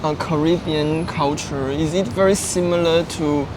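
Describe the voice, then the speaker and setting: A man with Mandarin as his asks questions in simple English. His voice sits fairly high, and he reacts with quick, eager little remarks. Clear street and traffic noise fills the background. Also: fairly even intonation. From Taiwan. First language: Mandarin Chinese. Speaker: interviewer. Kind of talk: casual street interview.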